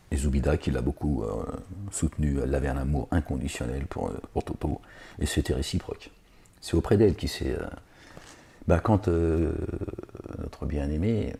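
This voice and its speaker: Brief: man, low dark voice, reads scripture and digresses, sarcastic, hesitant. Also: husky. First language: not Arabic. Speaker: religious lecturer reading scripture. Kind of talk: scripture reading with spoken commentary.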